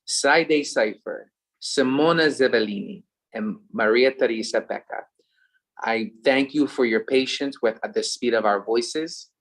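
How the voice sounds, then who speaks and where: A man's voice: medium pitch, measured pace, rough recording. A man with a Philadelphia accent co-hosts an online event. He has a mid-range voice and moves between scripted thanks and casual asides. He drops in short Spanish phrases as he goes. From USA. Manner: warm, humble thanks sprinkled with Spanish phrases.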